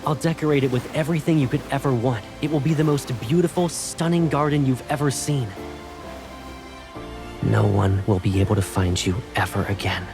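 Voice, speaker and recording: An actor playing a possessive, protective young military colonel in a video game, speaking with controlled, intimate intensity. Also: male voice, mid-range voice, normal speed, over music, lightly acted. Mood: possessive, intense. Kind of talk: dramatic video game cutscene acting.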